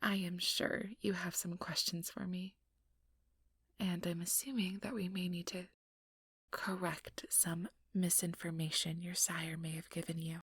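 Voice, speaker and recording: A woman playing an ambitious, sugary, manipulative vampire in an ASMR roleplay, speaking in a breathy, whispery voice with coaxing, condescending sweetness. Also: on the low side, little pitch movement, unhurried, fairly bright tone, no echo, clean studio sound. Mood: coaxing, sweet. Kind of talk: ASMR roleplay, soft whispered monologue to listener.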